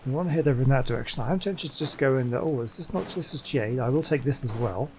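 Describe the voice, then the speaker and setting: A man's voice: mid-range voice, fast. Man commentating casually over faint game noise. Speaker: gaming video host.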